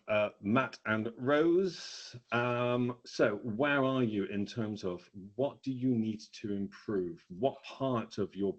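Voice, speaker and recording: A man presenting a business training webinar in a conversational, explanatory way, stressing key words as he goes. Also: lowish voice. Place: online webinar. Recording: dull, lo-fi online webinar audio.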